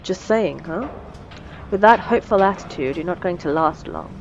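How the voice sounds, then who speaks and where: A woman's voice: fairly low pitch, expressive, normal speed, muffled recording, slight background noise. A woman playing a wry, menacing supervillain in a scripted audio roleplay, teasing and condescending, with cool threats. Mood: amused, warning. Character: wry, menacing female supervillain mentoring a captive assistant.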